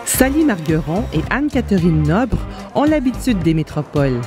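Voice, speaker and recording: A woman's voice: fairly low pitch, sing-song, moderate pace. A woman reading scripted narration for a video report as its reporter. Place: voice-over recording. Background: music bed.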